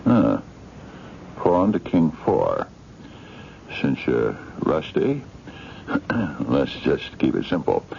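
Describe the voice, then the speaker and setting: Very deep, gravelly mysterious gentleman. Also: huge pitch swings, extremely slow, long pauses, full character voice. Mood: courtly, sly. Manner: formal, hesitant, insistent, courteous. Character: mysterious, eccentric chess-playing gentleman, coaxing and courtly. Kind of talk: radio mystery drama acting. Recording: dull, noisy 1970s radio drama recording.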